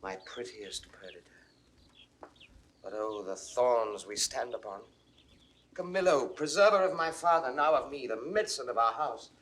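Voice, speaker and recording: An actor playing an ardent, anxious young prince in an impassioned, declamatory classical Shakespearean style. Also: male voice, medium pitch, animated, moderate pace, a bit bright, natural voice. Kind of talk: classical Shakespearean stage acting, 1960s audio recording.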